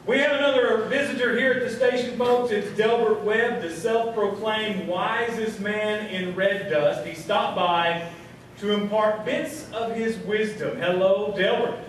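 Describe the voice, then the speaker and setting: Man as a high-voiced, slow-talking radio host. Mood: folksy announcing. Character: folksy small-town radio host. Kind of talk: live comedy radio show. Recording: very echoey hall, distant and noisy.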